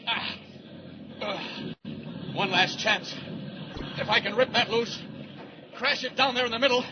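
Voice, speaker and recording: Urgent, high-voiced radio superhero. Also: male voice, measured pace. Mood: straining, excited. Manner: urgent, self-narrating, effortful. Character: determined heroic male superhero racing to save lives. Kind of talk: 1940s radio serial acting. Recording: muffled, noisy old radio recording.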